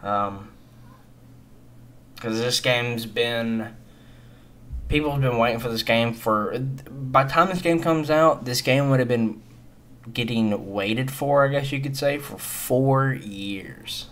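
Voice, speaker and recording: A man's voice: medium pitch, slow, fairly bright tone. A man of twenty-one giving casual, unscripted gaming commentary in a hesitant, rambling and resigned manner. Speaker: gaming video creator.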